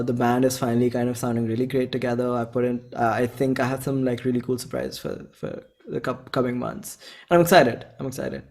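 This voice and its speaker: Casting: Indian man, 20s, indie musician being interviewed; effusive, slangy, informal, mid-pitched voice. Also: a little mellow. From Bhopal, India. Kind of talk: casual chat.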